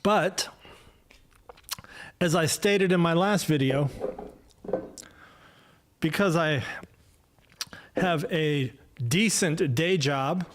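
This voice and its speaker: A man's voice: fairly high pitch, very slow, pauses often. A man in his fifties talking casually on a solo livestream podcast, rambling and self-deprecating, with many fillers and restarts. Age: 50s. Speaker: podcast host. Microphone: desk mic, no headphones.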